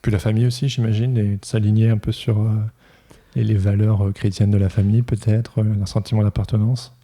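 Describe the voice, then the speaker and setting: Very dark-toned podcast host. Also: male voice, fairly low pitch, a little fast. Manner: relaxed, encouraging, gentle prompting. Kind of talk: casual interview.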